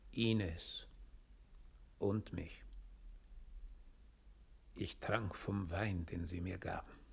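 A man playing a sinister, resigned doppelgänger, solemn and hushed in radio drama style. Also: deep voice, steady tone, drawn-out words, vocal fry, breathy edge, heavily acted. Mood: resigned, cryptic. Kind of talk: 1960 German radio drama acting.

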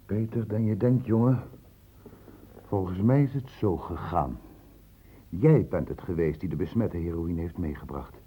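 An actor playing a stern, probing doctor in a Dutch radio drama, with measured, clinically precise questioning. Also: male voice, low voice, mellow, husky. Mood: accusing, knowing. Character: probing, stern male doctor, calmly cornering a suspect. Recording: muffled phone-like old radio recording.

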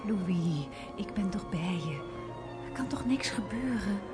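A woman's voice: mid-range voice, lively intonation, measured pace, lightly acted. An actress playing a shy, curious and caring young woman in a radio drama, eagerly questioning and stammering when uneasy. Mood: concerned. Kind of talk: radio drama acting, intimate dialogue. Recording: radio play with music behind.

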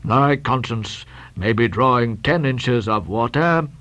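A man voicing a stingy, solemn old Quaker captain with a slow, mumbling, sepulchral delivery and archaic pious speech. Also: medium pitch, lively intonation, fairly bright tone, no echo. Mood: solemn, reproving.

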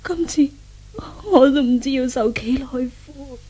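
A frightened, indignant young captive in old Cantonese radio drama. Her high voice moves slowly through every phrase, full of melodramatic anguish. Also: dark tone, breathy edge. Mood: despairing.

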